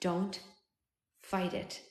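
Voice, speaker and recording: A woman voicing a dazed, dying hero from the future, faint and halting in a storybook reading. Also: mid-range voice, a bit bright, natural voice. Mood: weak, resigned. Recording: echoey, roomy sound.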